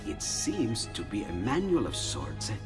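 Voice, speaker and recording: Excitable Italian inventor, wildly swooping voice. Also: male voice, fairly low pitch, unhurried. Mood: excited, musing. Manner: exclamatory and musing, swinging from delight to muttering. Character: eccentric, excitable Renaissance inventor, warm and theatrical. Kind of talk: video game cutscene acting. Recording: long reverb under loud music.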